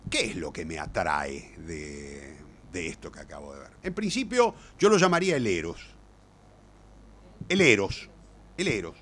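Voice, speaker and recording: A man's voice: low voice, big pitch swings, unhurried, a bit bright, slight background noise. An older man with an Argentine Rioplatense accent gives an informal lecture, speaking colloquially like a storyteller and repeating words for emphasis. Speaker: playwright and lecturer.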